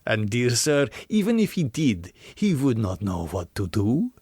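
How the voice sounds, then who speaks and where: Old nobleman vampire, slow, deliberate, courtly, proud, menacing, gothic audiobook delivery, dry sound. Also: medium pitch, huge pitch swings, a little mellow, breathy edge, no echo, exaggerated voice. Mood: scornful, ominous. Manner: slow, grandly formal, deliberate phrasing. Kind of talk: gothic audiobook character reading.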